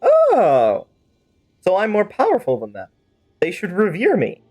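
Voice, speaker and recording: A man's voice: high voice, huge pitch swings, measured pace, a little mellow. A man livestreaming a game, talking in a casual, rambling, chatty way and slipping into playful role-play. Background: game music.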